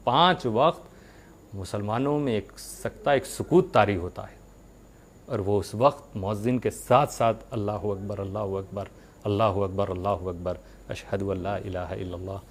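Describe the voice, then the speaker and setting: A man giving a religious sermon, speaking earnestly to his followers with rhetorical questions and deliberate pauses. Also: lowish voice.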